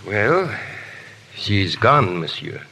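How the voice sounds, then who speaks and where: A man's voice: lowish voice, big pitch swings, drawn-out words, vocal fry, bright, forceful tone, slightly rough, full character voice. A man acting a cold, scheming French revolutionary villain in a 1930s radio drama, clipped and coldly formal. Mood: coldly polite. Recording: reverberant, noisy 1930s radio broadcast.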